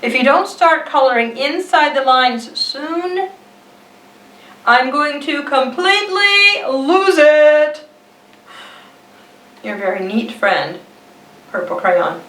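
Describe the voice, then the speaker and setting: A woman's voice: sits high, expressive, slow pace, pauses often, fairly bright tone, reverberant, far from the mic, pitched above the performer's own voice, exaggerated voice, low-quality recording. A woman voicing an elegant, fussy purple crayon from a storybook, primly polite with strained composure. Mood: exasperated. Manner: prim, mannered, strained composure.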